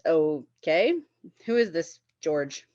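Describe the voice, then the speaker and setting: A woman's voice: low-pitched, expressive, slow, darkish tone, no echo, highs cut off. A woman playing a smug, evasive boyfriend in a comedy table read, patronizing and falsely considerate. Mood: challenging.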